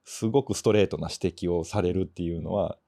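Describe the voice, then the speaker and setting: A man in casual podcast chat, sharing reflective, measured opinions. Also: low voice, gravelly, dark tone. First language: Japanese. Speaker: podcast co-host.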